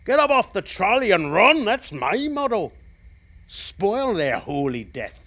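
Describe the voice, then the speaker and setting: An actor playing a bitter, sardonic and frightened passenger in a radio play, with a wry, theatrical manner. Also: male voice, high voice, sing-song, unhurried, a bit bright, heavily acted.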